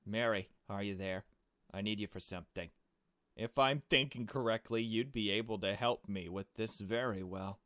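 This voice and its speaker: A man voicing a brisk, bossy young female amateur detective from a visual novel, in a curt, businesslike way. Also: fairly low pitch, a bit bright, no room sound, studio quality, lightly acted.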